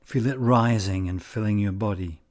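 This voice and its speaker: A man leading a guided meditation in calm, measured short phrases with long pauses. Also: fairly low pitch.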